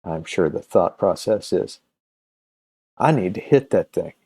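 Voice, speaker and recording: A man presenting casually and unscripted as a comics video host, halting and often searching for his words. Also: low-pitched, lively intonation, dark tone, breathy edge. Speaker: comics video host and creator promoting his own campaign.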